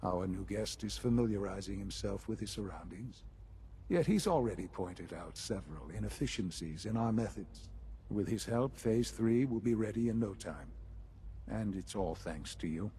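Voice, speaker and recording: An actor playing a composed, authoritative leader in a video game, speaking formally and deliberately with understatement. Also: male voice, lowish voice, lively intonation, warm tone, a little hiss or hum. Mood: pleased, assured. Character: composed, authoritative male leader of a secretive institute.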